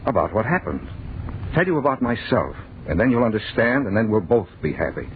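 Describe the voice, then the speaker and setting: Tinny noisy old radio, agitated fearful man, low pitch, wide swings, pleading melodrama. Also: very animated, a little fast. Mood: anguished confessing. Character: anguished, fearful man confessing to his sweetheart. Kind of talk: 1940s radio drama acting.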